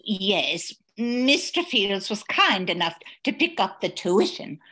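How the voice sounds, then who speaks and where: A woman's voice: medium pitch, big pitch swings, slow pace, pushed and bright, slightly rough, full character voice. An older woman playing a loyal, wry housekeeper and cook in an amateur radio mystery. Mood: wry, measured. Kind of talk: amateur old-time radio mystery acting.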